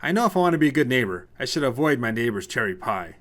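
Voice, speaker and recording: A man's voice: medium pitch, very animated, quick pace, fairly bright tone. A man voicing a tired, cranky new homeowner in an audiobook story, dry and grumbling with a sarcastic edge. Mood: amused. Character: tired, cranky male newcomer, dryly sarcastic minimalist. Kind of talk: audiobook character voice.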